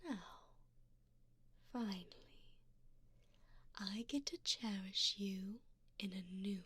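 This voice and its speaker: A woman voicing a doting, seductive, wealthy vampire in an intimate ASMR roleplay, speaking in a breathy near-whisper close to the mic with tender, genteel endearments. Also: mid-range voice, slow pace, sharp tone, no room sound, full character voice. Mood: hungry, seductive. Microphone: close binaural microphone.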